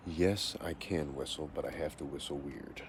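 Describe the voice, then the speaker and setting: A man in his forties from Texas with a deep voice, reading out questions and answering them plainly in a casual self-interview. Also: normal speed. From Texas, USA. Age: 40s. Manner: reads each question, then answers plainly and matter-of-factly. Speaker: vlogger answering a question tag. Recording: noisy home recording.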